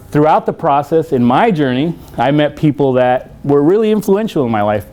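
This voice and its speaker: A man in his forties from El Salvador, giving a self-deprecating conference talk in a university hall, joking often and pausing on many fillers. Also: medium pitch, mellow, clean tone. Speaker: conference speaker.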